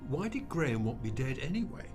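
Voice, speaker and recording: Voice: medium pitch, very animated, fairly quick, music in the background, close to an everyday voice. An actor in a radio drama playing a bewildered, defensive dead businessman who speaks in a hesitant, plaintive way. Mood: baffled, plaintive.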